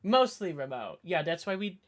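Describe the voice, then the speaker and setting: A woman's voice: lowish voice, very animated, moderate pace. A woman from the suburbs outside Boston with a light Boston accent, chatting casually with her stream chat and rambling through restarts.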